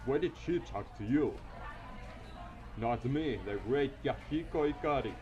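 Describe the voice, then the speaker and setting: A man voicing a boastful, dim, excitable teenage boy in a visual novel, bragging and exclaiming. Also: mid-range voice, a little slow, muffled recording, lightly acted. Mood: indignant, boastful. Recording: loud music behind.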